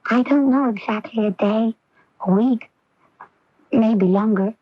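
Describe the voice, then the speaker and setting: A woman's voice: fairly high pitch, unhurried, no room sound. A woman playing an earnest, lovelorn young woman in a 1980s radio drama, intense, vulnerable and pleading. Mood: reluctant, reassuring. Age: young adult. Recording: dull lo-fi 1980s AM radio recording.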